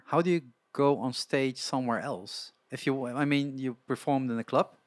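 Male interviewer, halting questions, repeats words, dry close sound. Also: mid-range voice, dry recording.

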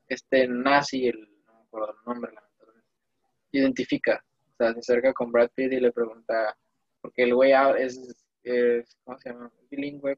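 A man's voice: medium pitch, vocal fry, no echo. Young Mexican man, casual slangy talker, rambling storyteller; dry, slightly dull lo-fi audio. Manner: slangy, digressive, animated storytelling. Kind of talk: casual chat.

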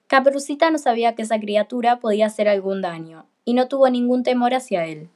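Higher-voiced woman narrating a fairy tale. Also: fast. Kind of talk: scripted storybook narration for radio play.

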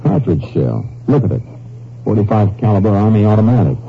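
An actor playing a brisk, observant Texas Ranger investigator, terse and authoritative, in 1950s radio drama style. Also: male voice, lowish voice, sing-song, creaky. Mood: brisk, probing. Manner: terse, matter-of-fact, authoritative. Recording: muffled, noisy old radio recording.

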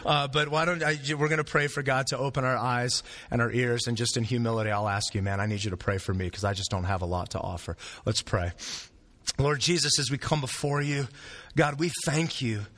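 A man's voice: medium pitch, a little fast, fairly bright tone. A man preaching a sermon as pastor, earnest and repeating phrases for emphasis, with a sore throat and a fading voice.

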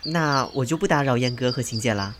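An ingratiating young male newcomer, acted by a man in a radio drama. His mid-pitched voice is polite and deferential, with faint ambient noise like birds and insects behind it. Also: a little fast, close to an everyday voice. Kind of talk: modern radio drama acting.

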